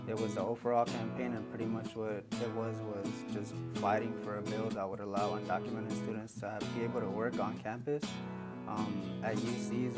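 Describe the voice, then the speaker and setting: A man in his twenties from Mexico, a student answering interview questions in a relaxed way with many fillers. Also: on the low side. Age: 20s. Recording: guitar music playing underneath.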